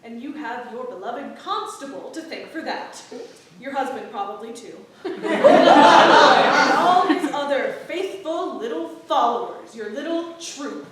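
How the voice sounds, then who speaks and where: An actress playing a sharp-tongued, bitter small-town wife in a staged reading of an English period play. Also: female voice, sits high, lively intonation, a little slow, background noise. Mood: bitter, accusing. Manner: sarcastic, bitter, needling. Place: indoor playhouse before an audience. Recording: very echoey theater, distant mic.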